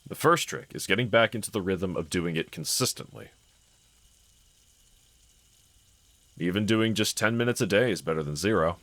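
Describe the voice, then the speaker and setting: A man playing a gentle, encouraging husband in an intimate scripted roleplay audio, speaking in a considerate, reassuring manner. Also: lowish voice, fast, dry recording, natural voice. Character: gentle, encouraging adult husband, calm and caring. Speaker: character audio voice actor.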